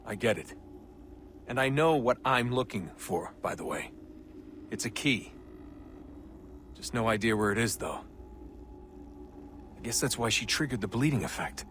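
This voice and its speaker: A man's voice: mid-range voice, expressive, fairly bright tone, slight background noise. An actor voicing a weary, sardonic young man, a resentful son, in dry naturalistic video game dialogue. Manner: dry, sarcastic, sighing, slow.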